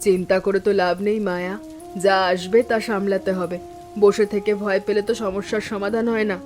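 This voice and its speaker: Woman acting as steadfast village elder sister-in-law, composed, firm, reassuring, mid-pitched, cartoon dialogue, music underneath. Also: fairly even intonation, brisk, darkish tone, lightly acted. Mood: calm, firm. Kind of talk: animated story dialogue.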